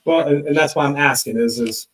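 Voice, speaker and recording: A man's voice: fairly high pitch, a little fast. A man working as a defense attorney, asking probing, leading questions in a courtroom. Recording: echoey courtroom playback on live stream.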